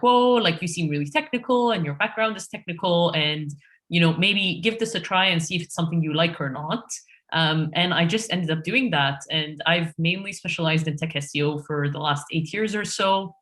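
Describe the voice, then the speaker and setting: A woman from Egypt answering interview questions on a podcast in a relaxed way, with frequent fillers and restarts. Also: low-pitched. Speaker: podcast guest, head of SEO.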